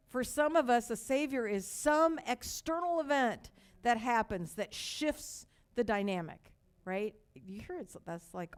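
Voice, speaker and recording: An older woman pastor from Texas with a light Texas accent, preaching a sermon with slow, emphatic repetition and storytelling asides. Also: a little high.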